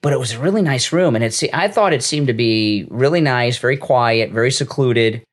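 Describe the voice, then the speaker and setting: A man's voice: medium pitch, fairly quick. A middle-aged man co-hosting a casual chat, hesitant and agreeable, often restarting his sentences. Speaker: co-host.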